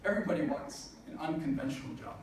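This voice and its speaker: A man's voice: fairly high pitch, fast, creaky. Young man speaking in an echoey hall. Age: 20s. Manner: earnest, with frequent uh fillers. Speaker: speaker at a student business event. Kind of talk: motivational speech. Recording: echoey hall recording from far off, noisy.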